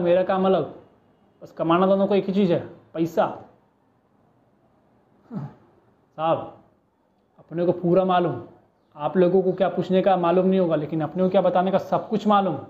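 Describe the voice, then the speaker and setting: A man's voice: high voice, lightly acted. A man acting a cheeky small-time crook with a Mumbai street accent, bargaining and pleading in a police interrogation monologue. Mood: cocky, bargaining. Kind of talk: audition monologue, film-style street-slang acting. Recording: muffled, narrow phone-like sound.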